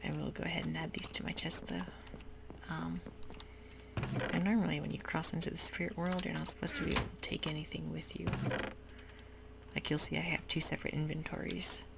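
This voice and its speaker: A woman whispering softly through a relaxed, rambling ASMR game commentary. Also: sits low, brisk, gravelly, pauses often, heavy background noise. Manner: soft whisper, rambling and easygoing. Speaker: YouTuber playing a game. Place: home room with sprinklers outside.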